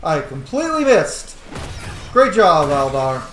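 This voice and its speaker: A man's voice: fairly high pitch, sing-song, unhurried, fairly bright tone, slight background noise. Man doing game commentary in a roomy space. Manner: sweary, speaks character's thoughts aloud. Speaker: gaming video host. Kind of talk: casual roleplay game commentary.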